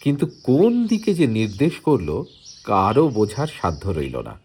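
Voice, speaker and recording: A man narrating a literary story from a script in an expressive way. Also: lowish voice, a little slow, slight background noise. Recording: music behind the voice. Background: plucked guitar-like music.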